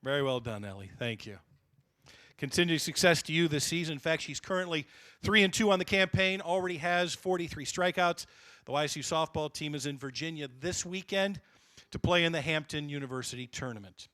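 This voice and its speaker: A man's voice: on the high side, a little fast, bright, forceful tone, no echo. A man emceeing a banquet, introducing honorees in a polished announcer manner.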